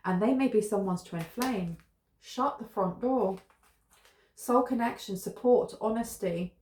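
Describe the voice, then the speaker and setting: A woman's voice: mid-range voice, darkish tone. Musing British woman in an echoey room. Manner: musing, meandering, thinking aloud with fillers. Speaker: tarot reader. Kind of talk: casual tarot reading to camera. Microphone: camera close in front.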